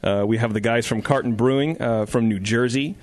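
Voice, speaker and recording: A man's voice: mid-range voice, a little fast, darkish tone, no room sound. A man hosting a casual radio talk show in a studio, joking and rambling with fillers. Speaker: podcast host.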